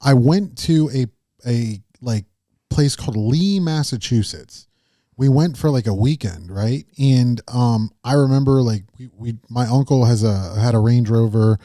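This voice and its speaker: A man's voice: medium pitch, measured pace, warm tone, dry recording, clean studio sound. A man co-hosting a podcast, telling a road-trip story in a loose, rambling way. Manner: rambling, many fillers and restarts. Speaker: podcast co-host. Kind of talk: casual chat.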